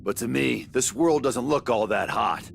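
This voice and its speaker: A man playing a gruff, cynical warrior in a video game, blunt and slangy, with a gravelly voice. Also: mid-range voice, unhurried, noisy background. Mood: jaded, blunt. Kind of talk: English video game voice acting. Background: music.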